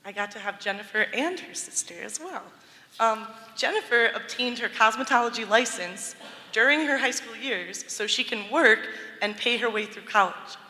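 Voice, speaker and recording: A woman's voice: fairly high pitch, lively intonation, normal speed, bright, forceful tone. A woman presenting a scholarship and reading quotes from a student's essay in a large church. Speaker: awards presenter. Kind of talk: reading award citations. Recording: reverberant church hall.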